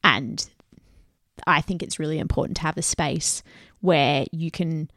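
A woman co-hosting a home podcast in a lowish voice with a gravelly fry. She rambles in an earnest way and laughs easily. The recording is close and dry. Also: unhurried, a bit bright, no echo. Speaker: podcast co-host. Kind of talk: casual chat.

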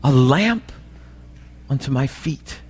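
Slow-paced American pastor in reverberant church. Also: male voice, medium pitch, lively intonation, a little mellow, slightly rough. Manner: asks the congregation frequent short checking questions. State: slightly raspy voice this morning. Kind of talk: sermon. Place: church, preaching live. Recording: live church recording, long hall reverb.

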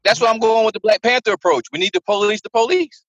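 A man's voice: high-pitched, fast, a bit bright, no room sound, highs cut off. A man on a podcast, talking fervently and opinionated in casual chat.

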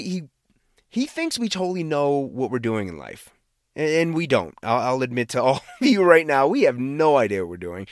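A man's voice: mid-range voice, no echo, clean studio sound. An American man hosting a casual devotional talk, speaking conversationally and emphatically, often repeating words for stress and checking in with the listener. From Boston area, United States. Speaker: podcast host.

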